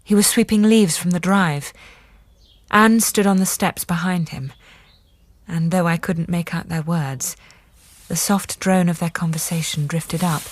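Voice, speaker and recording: Low-voiced, earnest woman, reflective. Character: earnest, tender woman doctor recalling events in her journal. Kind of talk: radio drama acting with reflective diary narration.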